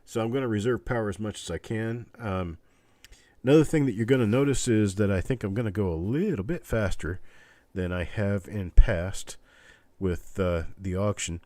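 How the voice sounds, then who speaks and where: A man hosting a casual live online auction stream, chatting in a rambling, halting, folksy way with a scratchy, stuffed-up voice weakened by illness. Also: fairly low pitch, dark tone, no room sound. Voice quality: congested, stuffed-up nose. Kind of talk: casual livestream chat with viewers.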